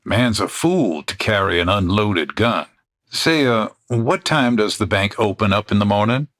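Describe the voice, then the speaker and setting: A man playing a calm, courteous stranger in an old west comedy audio drama. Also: on the low side, measured pace. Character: polite, calm mysterious male stranger.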